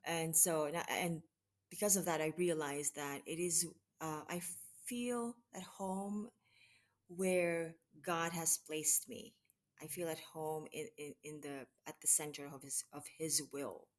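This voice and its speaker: A woman from the Philippines answering interview questions in an unhurried way, pausing often with fillers and restarts. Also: low voice, fairly even intonation. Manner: hesitant, many restarts and fillers. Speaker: guest, mission associate regional director. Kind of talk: conversational interview.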